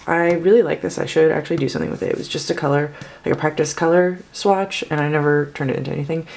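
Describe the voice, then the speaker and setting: A young woman in her twenties talks in a low voice, with the slightly off sound of someone who is sick. She rambles and corrects herself as she pages through her sketchbook for a casual video. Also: darkish tone, a little hiss or hum. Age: 20s. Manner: chatty, rambling, self-correcting. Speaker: artist vlogger.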